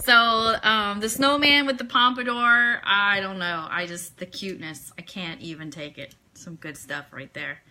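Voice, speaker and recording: A woman's voice: a little high, bright, forceful tone. A woman hosting a casual fan radio show, chatting enthusiastically and ad-libbing in a gushing way.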